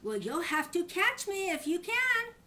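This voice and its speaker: A woman's voice: very high-pitched, sing-song, measured pace, bright, forceful tone, voice pushed up, heavily acted. An older woman voicing a small, cocky gingerbread man in a storybook, boasting in a sing-song way. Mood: cocky, teasing.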